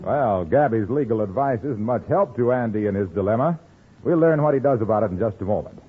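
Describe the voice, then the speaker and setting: A man's voice: fairly low pitch, animated, fairly quick, slightly rough. A male announcer reading a script in 1950s radio style. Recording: muffled old-time radio recording with music.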